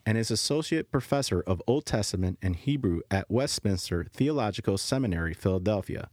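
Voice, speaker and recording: Man, podcast host, casual, halting, dry close-miked sound. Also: mid-range voice, darkish tone, dry recording. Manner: friendly, halting, many fillers. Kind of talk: casual interview chat.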